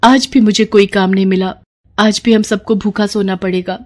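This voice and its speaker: A woman voicing a gentle, worried young eldest sister in a storybook tale, earnest and plaintive. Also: mid-range voice, steady tone, moderate pace, lightly acted.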